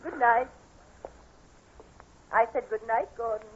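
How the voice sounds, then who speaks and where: An actress playing a gentle, soothing aunt with a coaxing, tender manner in 1940s radio drama style. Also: female voice, on the high side, takes it slowly, husky. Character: gentle, soothing aunt caring for her blind nephew. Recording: dull, hissy 1940s radio recording.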